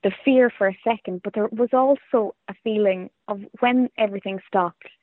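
Female interviewee, Irish accent, phone-band sound, dry; chatty, reflective, average pitch. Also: normal speed, dry recording. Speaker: playwright and performer being interviewed. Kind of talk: casual reflective interview chat. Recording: tinny, phone-like remote line.

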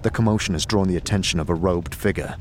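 A man's voice: low-pitched, quick pace, darkish tone, slight background noise. A man narrating a scripted fantasy audio drama in a dramatic storytelling manner.

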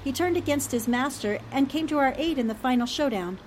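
A woman playing an earnest, analytical newspaperwoman in old-time radio drama style, shaken but determined and deliberate in speech. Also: high voice, darkish tone, slight background noise, lightly acted. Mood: troubled, pondering.

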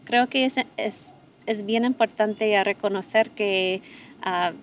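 A woman's voice: mid-range voice, fairly even intonation, slow, a little hiss or hum. American woman sharing advice, hesitant. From United States. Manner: thoughtful, many fillers and restarts. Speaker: guest, expat parent. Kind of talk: casual interview chat.

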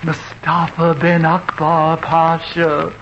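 A woman playing a wry, excitable, gossipy wife in a 1950s radio comedy, on an old recording. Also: low voice, slow, highs cut off, a little hiss or hum. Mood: intrigued, teasing.

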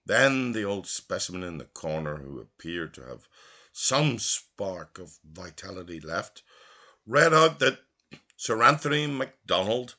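A low-voiced man narrates a literary audiobook. His delivery is slow and theatrical, with pitch that swings widely from phrase to phrase. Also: sharp tone, slightly rough. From Belfast, Northern Ireland. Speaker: literary reader.

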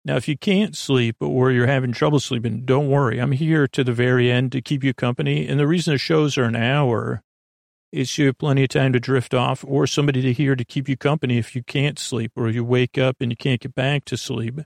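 A man with a creaky voice hosting a bedtime podcast in a gentle, meandering conversational way, reassuring and often interrupting himself with tangents. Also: medium pitch, steady tone, fairly quick, no room sound, clean studio sound. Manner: meandering, hesitant, full of tangents and self-interruptions. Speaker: podcast host.

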